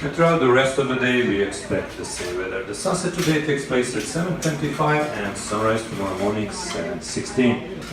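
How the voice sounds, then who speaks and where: Echoey, distant ship captain on a PA. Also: male voice, medium pitch, animated, a little fast, hardly any pauses. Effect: public address speaker. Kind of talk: formal public announcement to passengers. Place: indoors on a cruise ship, heard over loudspeakers by a walking passenger. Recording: echoey ship PA from far off, crowd chatter. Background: passengers chattering.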